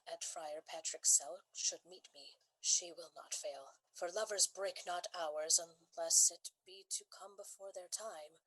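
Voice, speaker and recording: A woman's voice: lowish voice, sharp tone, rough recording. A woman playing a gallant, earnest knight in a Shakespearean radio play.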